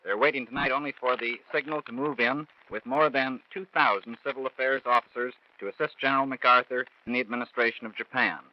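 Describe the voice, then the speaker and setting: A man's voice: mid-range voice, steady tone, quick pace, few pauses, dry recording. An American man reporting news in a 1940s radio style. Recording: dull vintage 1940s radio broadcast.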